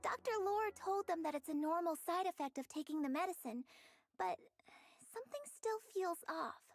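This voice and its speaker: A woman voicing a bright, eager young female investigator who is curious and earnest, quick to worry, in a video game. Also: very high voice, expressive, no echo, studio quality. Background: game music.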